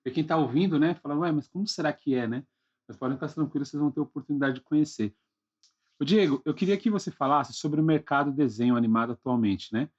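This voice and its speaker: A man's voice: fairly high pitch, quick pace, darkish tone. A man with a Brazilian accent hosting a casual podcast interview, informal and often checking for agreement with tag questions. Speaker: podcast host. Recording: slightly dull, lo-fi sound.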